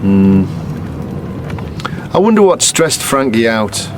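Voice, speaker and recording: A man's voice: medium pitch, big pitch swings, slow. A man playing a stubborn, troubled investigator in a naturalistic radio drama, speaking hesitantly and half to himself. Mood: preoccupied. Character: stubborn, troubled male investigator, a doctor of memory. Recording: noisy location sound with vehicle ambience.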